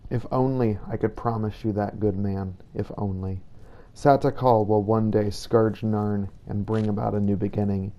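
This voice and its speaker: A man's voice: on the low side, level tone, normal speed, few pauses, warm tone, muffled recording. A man delivering solemn, scripted role-play dialogue in a formal, grave manner. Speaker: player character in a role-play playthrough.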